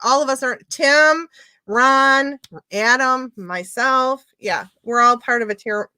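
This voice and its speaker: A woman co-hosting a casual online radio show, excitable and giggly, often repeating herself. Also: high voice, slow pace, clear, resonant tone, no room sound. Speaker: co-host.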